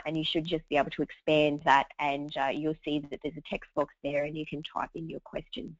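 A woman, hoarse from a cold, hosting a webinar and running through housekeeping in a chatty way with many fillers. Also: sits low, dry recording. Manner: chatty, lots of uhs. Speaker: webinar host. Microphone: computer mic over a webinar line. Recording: dull dry webinar audio.

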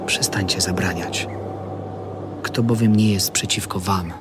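A man playing a calm, gentle teacher, soft-spoken, in a biblical radio drama. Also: low voice, unhurried, very noisy.

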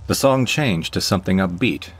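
A man's voice: low-pitched, lively intonation, normal speed, soft background music. A man reading first-person scripted narration for an audio drama.

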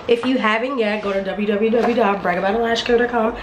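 Young woman, beauty vlogger, chatty and slangy, a bit hoarse, highish voice, phone at arm's length with noisy sound. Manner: chatty and playful, with slang and laughs. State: hoarse, voice partly lost. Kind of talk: casual vlog chat. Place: home beauty room, indoors, and outdoors in wind. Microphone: handheld camera at arm's length. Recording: noisy handheld vlog audio.

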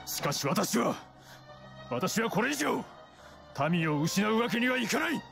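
A man voicing an earnest, dutiful knight commander in a video game, speaking formally and gravely. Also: high voice, very animated, measured pace, a bit bright, full character voice. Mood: anguished resolve. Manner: formal, grave military speech. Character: earnest, dutiful male knight commander protecting his people. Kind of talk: scripted video game voice acting. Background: game music.

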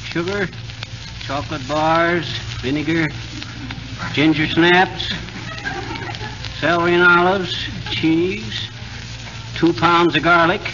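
An actor playing a blustery, folksy, bumbling husband in 1940s radio comedy. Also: male voice, fairly high pitch, steady tone, slow, pauses often. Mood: busy, distracted. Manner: folksy, hesitant muttering then exclaiming. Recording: noisy, dull 1940s radio recording.

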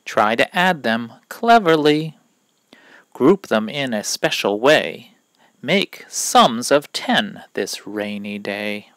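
Slow, expressive man reading to children. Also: medium pitch, no room sound. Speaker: read-aloud reader of a children's picture book. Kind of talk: children's story read-aloud of rhymes.

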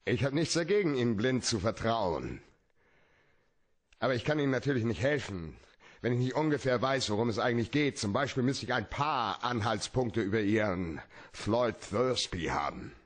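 An actor playing a hard-boiled, cynical private detective in a German radio play, terse and dryly probing. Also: male voice, medium pitch, expressive, normal speed, natural voice.

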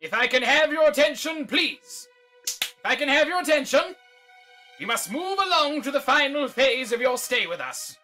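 A woman plays a dutiful, panicky park official in an audio drama. The high voice is formal and urgent. It sounds set back from the microphone, with music behind. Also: big pitch swings, moderate pace, sharp tone, full character voice. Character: panicky, dutiful park announcer pleading for order. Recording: distant voice over music.

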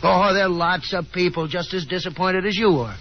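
A man's voice: sits high, fairly quick. An actor playing a friendly, confident young man with a boyish, folksy manner in 1950s radio drama. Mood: friendly, encouraging. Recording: muffled 1950s radio broadcast, hissy noise.

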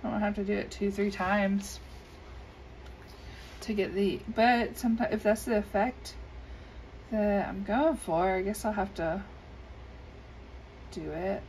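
A woman's voice: medium pitch, measured pace, long pauses, darkish tone. A woman with a scratchy voice chatting casually and unhurriedly while she colors at her desk, with many ums. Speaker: coloring video creator. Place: indoors at a desk. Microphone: overhead camera above desk.

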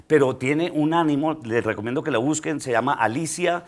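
A man's voice: fairly high pitch, a little fast. A middle-aged man from Colombia, a guest comedian on a studio talk show, telling a personal story with many hesitations and laughs. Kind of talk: casual talk show chat. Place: studio set.

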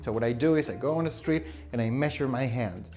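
A man's voice: mid-range voice, fast, dark tone, faint music behind. Chatty man explaining to camera. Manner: chatty, with fillers and laughs. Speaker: photography vlogger. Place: small indoor room with shelves. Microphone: camera in front, close framing.

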